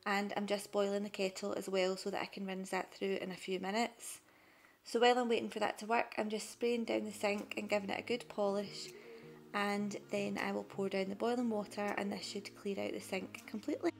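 A woman's voice: medium pitch, little pitch movement, fairly quick, vocal fry. A young woman narrating her cleaning vlog over the footage in a chatty, matter-of-fact way. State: pregnant. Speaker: cleaning vlogger.

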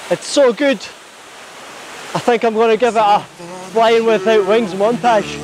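A man, talking earnestly to a hand-held camera outdoors in the forest and getting loudly excited at discoveries. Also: high voice, unhurried, noisy background. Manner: earnest, gets loudly excited on finding things. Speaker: vlogger exploring waterfalls. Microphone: camera held at arm's length.

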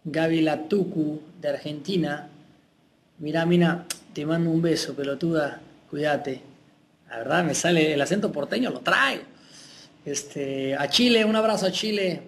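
A man's voice: a little high, moderate pace. A young man with a Mexican accent, chatting casually on a live webcam stream, reading out fan messages and sending greetings. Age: young adult. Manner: warm, chatty, reads out names and sends kisses. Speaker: singer answering fans on a webcam stream. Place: room with tall windows, indoors. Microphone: laptop webcam.

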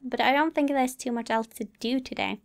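High-voiced woman narrating her gameplay. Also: brisk, creaky, slight background noise. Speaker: let's play host. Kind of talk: casual gameplay commentary, reading game dialogue aloud. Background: game music.

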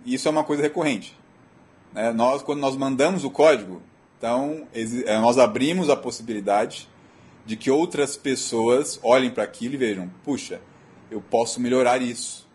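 A Brazilian man with a slightly husky voice giving a technical presentation in a halting, repetitive, explanatory way. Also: medium pitch, a little mellow. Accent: Brazilian Portuguese. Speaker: technical speaker. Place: auditorium.

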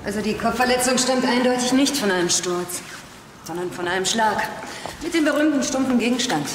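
An actress playing a forensic pathologist, matter-of-fact and dry. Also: female voice, on the high side, lively intonation, brisk, background noise, lightly acted. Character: dry, self-assured female forensic pathologist and mother. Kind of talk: TV crime drama acting. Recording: roomy echo with music.

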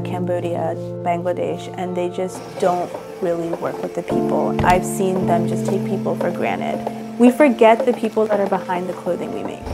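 A young woman in an interview, earnestly telling her story in a chatty way with lots of "like" fillers. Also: fairly low pitch. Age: young adult. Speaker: fashion designer and boutique owner. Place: indoor room, seated across from interviewer. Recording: soft music underneath.

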